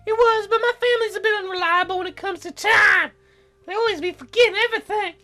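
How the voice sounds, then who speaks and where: Guy doing a needy little fairy girl for a game, super high pitched, pleading sing-song, chirpy and whimsical. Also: steady tone, quick pace, sharp tone, faint music behind, heavily acted. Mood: chatty.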